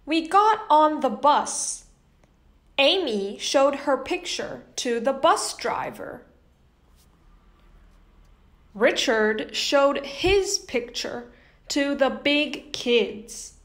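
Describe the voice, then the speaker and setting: A young woman reading a children's picture book aloud as host, in an animated, carefully enunciated way. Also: sits high, drawn-out words, long pauses. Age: young adult. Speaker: host and children's story reader. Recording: echoey indoor room.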